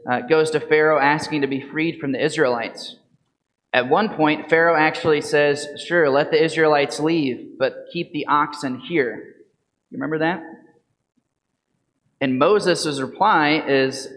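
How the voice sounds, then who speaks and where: A man in his thirties, a priest, reads the gospel and gives a calm, measured homily full of questions to the congregation. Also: a little high. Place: church sanctuary at a lectern. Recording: reverberant church from a lectern mic.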